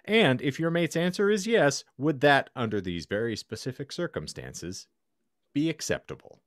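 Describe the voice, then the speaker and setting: A man's voice: medium pitch, very animated, moderate pace, dry recording. A man in his thirties hosting a podcast, with a theatrical, wry delivery, recorded close on a microphone in a home room. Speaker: podcast host. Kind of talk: reading a scenario aloud, podcast banter. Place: small home room, indoors.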